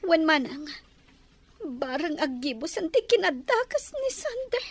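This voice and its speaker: A woman acting as an anxious, wronged wife with an Ilocano Filipino accent. Her voice is high and her manner determined, in the style of a radio drama. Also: big pitch swings, a little slow, no room sound, heavily acted. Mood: anxious, resolute.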